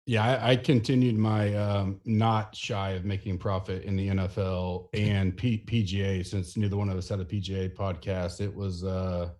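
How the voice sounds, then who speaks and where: A man's voice: low voice, little pitch movement, normal speed, hardly any pauses, darkish tone. A man hosting a casual sports betting podcast, talking loosely with lots of fillers and restarts. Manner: loose, rambling delivery with frequent fillers. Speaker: podcast host.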